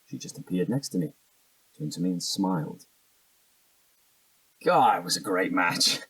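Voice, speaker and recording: A man's voice: low voice, lively intonation, a little slow, a bit bright, husky, no room sound, distant mic, full character voice, poor audio. An actor playing an evasive, defensive young British man in a naturalistic audio drama, hesitant and slangy. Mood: reminiscing, evasive.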